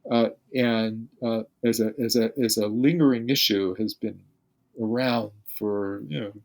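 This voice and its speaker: An older man, a physicist guest in an unscripted podcast interview, speaking haltingly with many restarts and repeated words. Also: fairly low pitch, steady tone, vocal fry.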